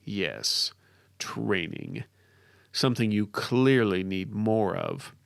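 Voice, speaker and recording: Man voicing a low, scornful spirit. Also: very animated, vocal fry, smooth, dry recording, studio quality, exaggerated voice. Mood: mocking. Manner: mocking, condescending, slow and deliberate. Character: scornful male spirit voice inside a warrior's mind. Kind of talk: dramatic fantasy audiobook character.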